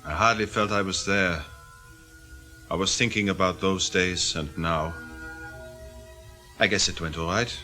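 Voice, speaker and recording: Preoccupied German intelligence man, low-pitched, sharp tone, radio drama, terse and weary. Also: a little slow, pauses often. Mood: weary, distracted. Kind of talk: naturalistic radio drama acting. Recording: music faintly under the voice.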